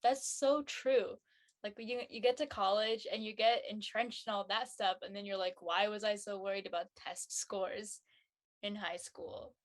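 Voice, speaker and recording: A woman's voice: medium pitch, steady tone, normal speed. A woman talking casually as a panelist. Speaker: talk show panelist. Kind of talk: casual chat.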